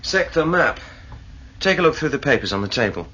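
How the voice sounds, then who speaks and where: A man's voice: fairly high pitch, big pitch swings, fairly quick, pushed and bright, slightly rough, full character voice. An actor playing a practical male spaceship crewman in a sci-fi radio drama, dry and matter-of-fact. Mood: businesslike. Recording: muffled old radio recording.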